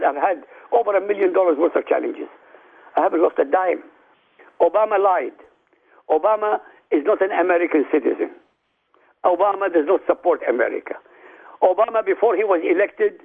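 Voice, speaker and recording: An Iraqi-born man whose first language is Arabic, speaking as a guest on a radio talk show in a forceful, declamatory way that addresses the listeners directly. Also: sits high, gravelly, breathy edge. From Iraq. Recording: tinny phone line.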